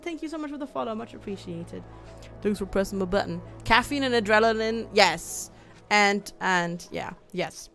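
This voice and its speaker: Woman from germany on a game stream, kinda gravelly voice, laughs a lot while reading chat, soft music behind. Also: mid-range voice. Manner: chatty, reads chat aloud, laughs often. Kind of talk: casual livestream chat while playing.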